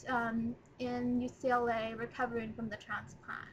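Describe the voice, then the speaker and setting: A woman's voice: a little high, little pitch movement, normal speed, a little hiss or hum, low-quality recording. A nineteen-year-old woman chatting in a rambling, casual first video blog, recorded on her laptop's webcam mic. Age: 19, young adult. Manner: chatty, rambling, lots of ums. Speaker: vlogger.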